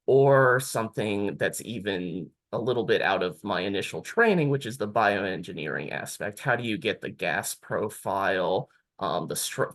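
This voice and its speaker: A man on a career panel, explaining his technical work in a measured way. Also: fairly low pitch, a bit bright. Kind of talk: panel talk.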